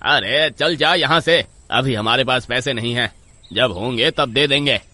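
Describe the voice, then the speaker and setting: An actor playing a lazy, cowardly village husband in a horror story, whiny, blustering and given to stammering. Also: male voice, medium pitch, measured pace, pushed and bright, dry recording. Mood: dismissive.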